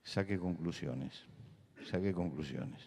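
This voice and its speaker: Rioplatense male preacher, lowish voice, emphatic and repetitive, church hall reverb. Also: takes it slowly, husky. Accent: Rioplatense Spanish. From Buenos Aires. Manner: emphatic, repeats key words, rises to loud insistence. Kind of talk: sermon talk with anecdotes.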